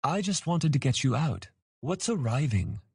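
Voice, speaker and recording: A man's voice: fairly high pitch, very animated, moderate pace, vocal fry, a little mellow, breathy edge, no room sound, studio quality, voice pushed up, heavily acted. A man voicing a cheerful, naive young boy dragon hatchling in a fantasy audiobook, with a childlike, eager, chirpy manner. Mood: hesitant, innocent.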